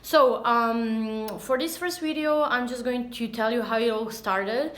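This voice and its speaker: A woman's voice: on the high side, unhurried, fairly bright tone. A woman in her thirties, a native Serbian speaker with a Serbian accent, chats casually and somewhat hesitantly to camera as a language teacher, recorded on a phone at arm's length. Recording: echoey, roomy sound.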